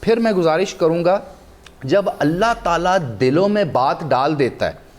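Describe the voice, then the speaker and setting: High-pitched Pakistani man, emphatic speaker. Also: expressive, a little slow. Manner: emphatic, rhetorical, builds points through repetition. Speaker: guest researcher and commentator. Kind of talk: impassioned religious and historical commentary on a TV talk show.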